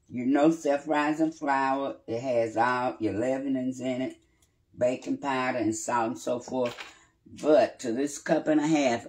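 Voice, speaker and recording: Slow, chatty older woman. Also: extremely low pitch, darkish tone. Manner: unhurried, chatty, talks while working with pauses and fillers. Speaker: home cooking channel host. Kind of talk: casual cooking demonstration. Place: home kitchen, indoors. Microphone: phone camera a few feet away on the counter.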